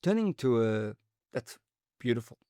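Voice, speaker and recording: A man hosting a podcast who asks measured, thoughtful interview questions. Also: medium pitch, sing-song, warm tone, no room sound, clean studio sound. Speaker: podcast host.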